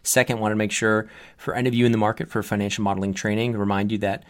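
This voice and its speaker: A man's voice: fairly low pitch, flat delivery, fast, no echo, clean studio sound. A man hosting a podcast, reading an upbeat intro and asking short questions. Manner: upbeat, promotional in intro, brief prompts. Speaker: podcast host. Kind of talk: interview hosting and scripted intro.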